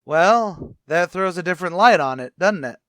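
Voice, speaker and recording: A man's voice: high-pitched, animated, moderate pace, fairly bright tone, no room sound. A man playing a boastful, wisecracking tavern manager in an old-time radio comedy, with a pompous, blustery delivery.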